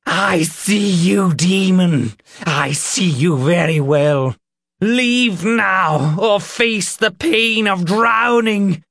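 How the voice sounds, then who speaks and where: A man voicing a stern, fanatical older male preacher in an audiobook, declaiming in drawn-out sermon phrases. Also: mid-range voice, a little mellow, dry recording, studio quality, close to an everyday voice. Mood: shrieking wrath.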